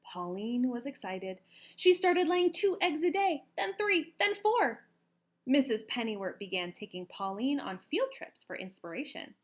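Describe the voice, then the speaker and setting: A woman reading a children's story aloud with expressive, emphatic narration. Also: high-pitched, unhurried. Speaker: storybook reader.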